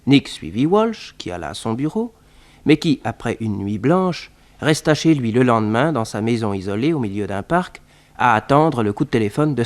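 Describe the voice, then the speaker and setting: A man giving scripted narration for a 1960s French radio detective serial. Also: medium pitch.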